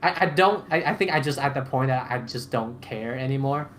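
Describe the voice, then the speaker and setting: A man with a mid-pitched voice talks as a panelist in a casual roundtable podcast. He rambles in an emphatic, slangy way, with clear ambient noise behind him. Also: animated, a little fast, fairly bright tone. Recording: clearly noisy background ambience.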